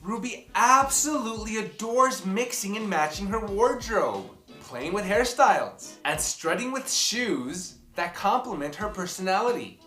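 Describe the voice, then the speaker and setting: Animated woman reading a children's story. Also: mid-range voice. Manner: animated, theatrical, dramatic pauses and emphasis. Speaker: picture book reader. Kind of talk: expressive children's story read-aloud. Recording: roomy echo, music behind.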